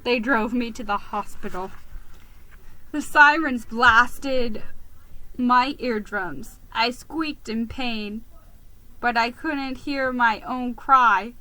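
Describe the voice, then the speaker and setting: Woman playing a bright, melodramatic mouse storyteller. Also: a little high, slow pace, poor audio. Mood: self-pitying. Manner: dramatic, self-pitying. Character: beleaguered, melodramatic male mouse narrator, a publisher.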